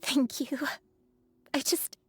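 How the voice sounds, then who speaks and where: An actress playing a gentle, humble female disciple in a video game, earnest, polite and a little hesitant. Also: slow, soft background music, natural voice. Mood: earnest, emotional. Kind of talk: scripted video game voice acting.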